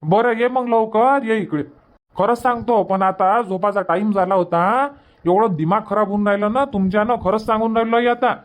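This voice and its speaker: Cartoon village husband, male performer, exasperated and insistent, pitched well up, Varhadi Marathi accent. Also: steady tone, normal speed, lightly acted. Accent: Varhadi Marathi from Vidarbha. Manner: insistent, repetitive, reproachful. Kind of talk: cartoon comedy dubbing.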